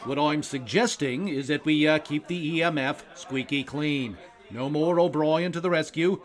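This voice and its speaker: A smug, self-serving government secretary acted by a man in an audio comedy. He speaks in a slightly high male voice, pompously, stumbling over hesitations as he goes. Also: moderate pace, natural voice. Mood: veiled threat. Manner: hesitant uh-peppered, smug politician's drawl. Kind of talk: audio comedy drama acting.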